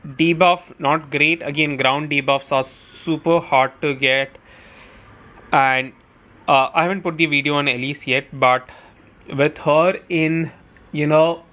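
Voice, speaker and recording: A man's voice: a little high, fairly even intonation, slow pace, bright, forceful tone. A man giving casual, chatty live commentary on a game as a streamer, thinking aloud as he goes.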